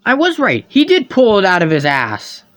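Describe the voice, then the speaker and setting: A man's voice: sits high, huge pitch swings, normal speed, fairly bright tone. A man giving comedic nitpicking commentary in a sarcastic, pedantic manner. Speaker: video commentator.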